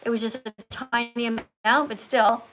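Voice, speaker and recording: Lady livestream host, kinda high voice, teachy and probing, chatting live w callers. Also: fairly even intonation, normal speed, a bit bright, a little hiss or hum. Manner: probing, presses callers with follow-up questions; didactic, explains in short emphatic statements. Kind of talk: live call-in chat about veganism.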